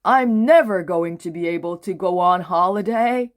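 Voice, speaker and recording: A woman voicing a hurried, excitable cartoon man in a children's storybook, with an expressive, exaggerated delivery. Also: fairly low pitch, close to an everyday voice. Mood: glum, sighing. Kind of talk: children's storybook read-aloud.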